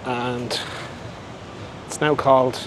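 A man's voice: medium pitch, flat delivery, takes it slowly. A man casually narrating a walking tour outdoors, pointing out sights as he goes. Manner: offhand, pointing things out as he walks. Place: busy street outdoors. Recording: loud street traffic noise.

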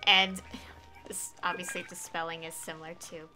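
A woman's voice: fairly low pitch, flat delivery, moderate pace, sharp tone. A woman doing casual live gameplay commentary, thinking aloud in a self-amused way. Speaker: game streamer. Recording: faint game music behind.

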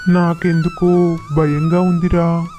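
A man playing a gentle, forgiving elderly father in a storybook tale, speaking mildly with resignation. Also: fairly high pitch, completely flat delivery, slow pace, soft background music. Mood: sorrowful. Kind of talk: storybook dialogue.

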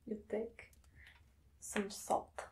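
A woman playing a menacing, obsessive female vampire hunter in a whispered ASMR roleplay, slow and deliberate. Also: slight background noise. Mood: coolly menacing. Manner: slow, hushed, deliberate, dramatic pauses. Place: small dim room indoors.

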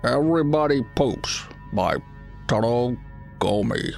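A man's voice: medium pitch, expressive, slow pace, gravelly, soft background music. A man voicing a polite, hesitant closet monster in an audio story, speaking in a mild, conversational way. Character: male closet monster, hesitant, polite, tentacled child-eater. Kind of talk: acted audio story dialogue.

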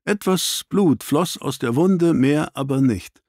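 A man reading an audiobook, voicing an analytical, ironic gentleman detective with precise, clipped statements. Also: fairly high pitch, animated, takes it slowly, dry recording, clean studio sound, voice pushed up, heavily acted.

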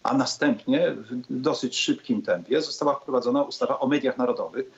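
Male politician debating, mid pitch, hesitant with lots of pauses, muffled call audio. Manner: hesitant, measured, many pauses. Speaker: politician, panel guest. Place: indoors on a video call.

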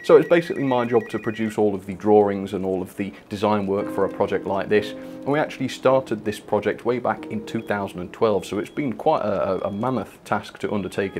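A man's voice: lowish voice, close to an everyday voice. A young man playing an earnest, chatty lead designer, explaining informally in a spoof interview. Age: young adult. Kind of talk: mock documentary interview. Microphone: lapel mic.

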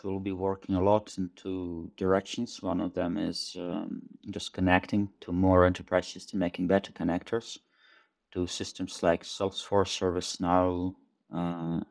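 A man in his forties, a tech company chief, talking over a video call in a thoughtful, halting way, often repeating words as he searches for them. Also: deep voice, steady tone. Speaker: tech company CEO guest. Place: small home room indoors. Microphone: headset over video call.

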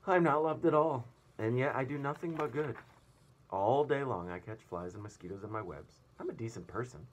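A man's voice: mid-range voice, normal speed, a little hiss or hum, voice pushed up, lightly acted, rough recording. A man voicing a decent, wronged female spider from a storybook, plaintive and earnest.

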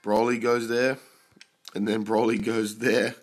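Slow-talking sick young man, gameplay commentary. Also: lowish voice. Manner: excited, swears at big pulls. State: sick, throat-clearing. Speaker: mobile game YouTuber.

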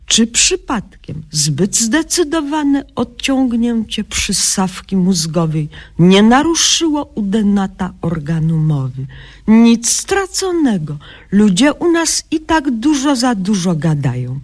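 A woman's voice: medium pitch, sing-song, takes it slowly, darkish tone. An actress playing a self-important, cheerfully incompetent young woman doctor in a satirical radio sketch, mock-solemn and pompous, mangling learned words. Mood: smug, solemn.

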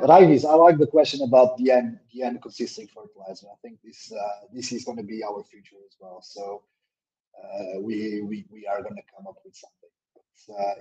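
A man hosting a webinar over a video call, answering questions in hesitant full of fillers and restarts. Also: warm tone. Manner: hesitant, many fillers and repeated words; says his own voice is a little deep. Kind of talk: live webinar Q&A. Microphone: laptop mic on a video call. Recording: dull lo-fi call audio.

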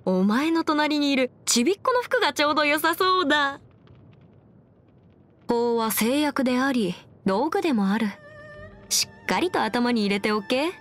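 High-voiced, confident young lady lawyer. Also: big pitch swings, moderate pace, a bit bright. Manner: self-assured, formal, crisp lecturing phrasing. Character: confident, bookish young woman legal expert, proud and playful. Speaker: video game character voice actor. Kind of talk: scripted anime-style game character monologue.

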